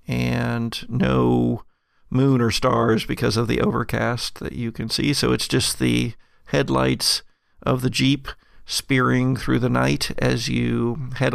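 A man with a creaky, gravelly voice narrating a tabletop horror game as game master, in a halting, deliberate way. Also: mid-range voice, a bit bright, dry recording, clean studio sound.

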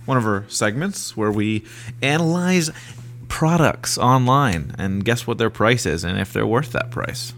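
A man co-hosts a comedy podcast in a plain, mid-pitched voice. He talks in a laid-back way and laughs easily as he introduces the episode and its segments. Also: expressive, unhurried, a bit bright. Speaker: podcast co-host. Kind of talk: casual comedic podcast chat.

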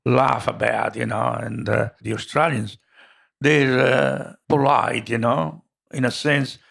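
An older man with an Italian accent, recounting his migration in an oral history interview, halting with many fillers. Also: mid-range voice, fairly even intonation, creaky, fairly bright tone.

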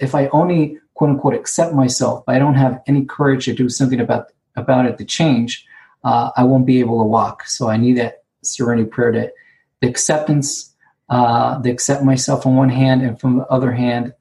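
A man with a Hebrew accent, Hebrew being his first language, sharing about recovery and sponsorship at an online conference, speaking haltingly and repeating words as he searches for them. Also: medium pitch, steady tone, darkish tone, slight background noise, low-quality recording. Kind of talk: personal share at an online conference. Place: sitting alone, remote.

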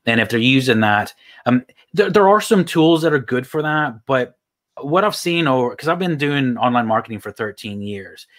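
A man's voice: mid-range voice, animated, normal speed, a bit bright. A man in his thirties with a mixed Texan, Irish and British accent, a guest talking casually about online marketing, rambling with many restarts. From United States. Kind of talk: casual interview talk.

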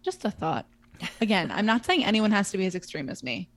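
A woman's voice: medium pitch, sing-song, fairly quick, no room sound. A woman in her twenties co-hosting a podcast, talking casually and chattily with lots of fillers. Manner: chatty, filler-heavy, frequent "like". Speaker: podcast co-host. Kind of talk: casual chat.

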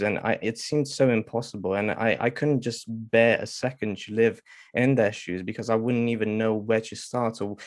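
Man, low and dry. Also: no echo. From Romania. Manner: rambling, jumps between points. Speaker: guest author. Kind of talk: casual interview talk.

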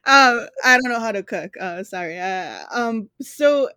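Young woman, slow speech, bright voice, hesitant, drops in spanish words. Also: fairly high pitch, expressive, dry recording. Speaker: guest. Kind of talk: casual review chat.

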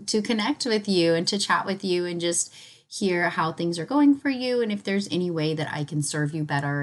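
A woman hosting a casual spiritual podcast, chatty and enthusiastic, with a slightly raspy, hoarse voice as if recovering from illness. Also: sits low. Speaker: podcast host.

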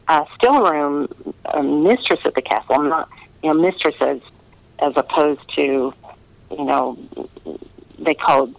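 A woman's voice: low voice, measured pace, vocal fry, pauses often, no echo, rough recording. A woman novelist chatting casually in an interview, talking in a meandering way with trailing asides.

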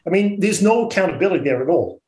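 A man with a Dutch accent speaking as an interview guest, blunt and outspoken, often halting and repeating words. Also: high voice, lively intonation, darkish tone, roomy sound, poor audio. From Netherlands. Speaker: interview guest, orchard business owner. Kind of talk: radio interview.

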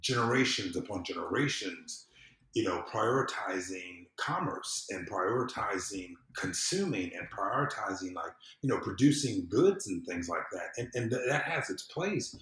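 A man's voice: lowish voice, animated, normal speed, fairly bright tone. A man from the southern United States with an American accent, talking casually and emphatically as a podcast guest, with informal, salty phrasing. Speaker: podcast guest, civic engagement consultant. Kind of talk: casual, impassioned conversation. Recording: roomy, echoing sound.

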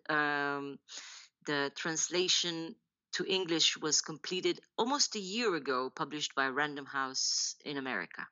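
A woman in her forties from Israel, a novelist telling her story in a podcast interview, thoughtful and halting with many restarts and laughs. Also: low-pitched, sharp tone, dull audio. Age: 40s. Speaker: novelist guest.

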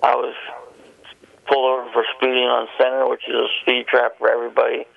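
A man's voice: mid-range voice, monotone, brisk, slight background noise. A man, a guest telling a long, rambling personal story. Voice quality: gravelly, husky. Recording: muffled phone line.

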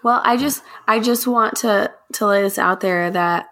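A woman guest on a casual podcast sounds scratchy from a cold. Her mid-pitched voice has a gravelly edge. She talks with dry, self-deprecating humor. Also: a little slow, a bit bright.

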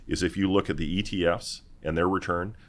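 A middle-aged man, a mining executive, answering interview questions in a halting, deliberate way with many restarts. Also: low-pitched, fairly even intonation. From Canada, raised in Vancouver. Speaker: mining company executive, guest.

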